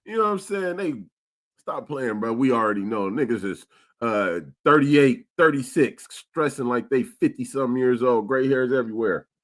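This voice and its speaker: A man's voice: medium pitch, lively intonation, normal speed. A man in his forties from Stockton, California, telling street stories and reading chat comments on a casual podcast. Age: 40s. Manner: streetwise, storytelling, repeats himself; reads live chat comments aloud. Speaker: podcast co-host.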